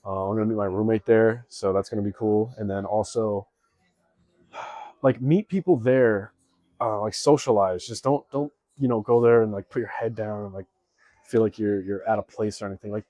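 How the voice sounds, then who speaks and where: A sick young man vlogging casual gym advice, sounding a bit raspy and nasal, rambling with lots of fillers and swearing. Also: lowish voice, warm tone, no echo. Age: young adult. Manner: rambling, laid-back, swears often, lots of "like". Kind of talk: casual motivational vlog.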